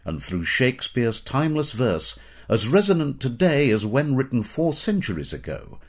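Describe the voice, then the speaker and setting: A middle-aged British man reading scripted educational narration in a measured, formal, literary manner. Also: mid-range voice, big pitch swings.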